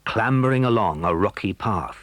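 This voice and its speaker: Man, scripted children's story narration, lowish voice, lively intonation, dry close sound. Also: moderate pace, dry recording.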